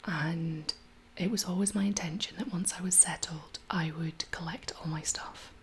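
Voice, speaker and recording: A woman in her thirties speaks in a breathy near-whisper, pitched a little low and slightly husky. She chats casually as an ASMR host, gentle and unhurried. A faint layer of background noise sits behind her voice. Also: steady tone. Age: 30s. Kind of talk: soft-spoken ASMR, casual chat.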